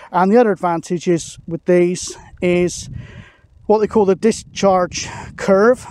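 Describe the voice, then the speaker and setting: A man's voice: high-pitched, warm tone, dry recording. A British man in his forties chatting matter-of-factly as he explains things in a casual vlog, recorded outdoors on an open hilltop. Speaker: radio hobbyist vlogger.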